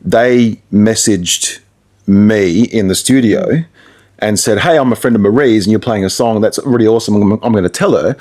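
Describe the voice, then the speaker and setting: A man's voice: on the low side, moderate pace, darkish tone. A middle-aged man with an Australian accent, talking casually as a radio presenter in an interview.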